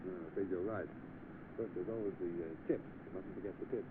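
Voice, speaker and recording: A man's voice: deep voice, steady tone, fast talker, narrow, tinny audio, lightly acted. A man with a British accent playing a polite, proud chauffeur in an old film, speaking deferentially and hesitantly. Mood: wry, subdued. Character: polite, proud young chauffeur fallen on hard times. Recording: thin noisy old film soundtrack.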